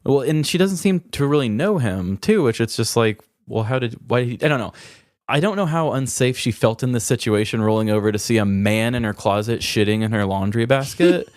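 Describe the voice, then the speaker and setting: A man's voice: medium pitch, lively intonation, a little fast, darkish tone, no echo, clean studio sound. A man co-hosting a podcast, telling odd crime stories in casual, conversational, rambling comedic chat. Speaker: podcast co-host.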